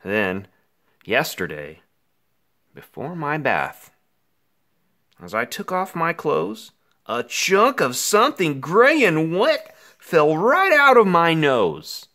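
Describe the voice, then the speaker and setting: Theatrical man reading a children's story, wildly swooping. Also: on the high side. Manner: theatrical, expressive, with dramatic pauses. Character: anxious, melodramatic five-year-old boy narrating his woes. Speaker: picture book reader. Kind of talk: dramatic read-aloud of a rhyming children's story.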